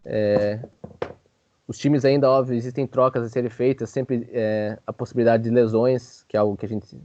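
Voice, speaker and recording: A man's voice: mid-range voice, fairly even intonation, normal speed, a little mellow, no echo, muffled recording. A man hosting a sports show with casual, joking basketball commentary full of informal filler words.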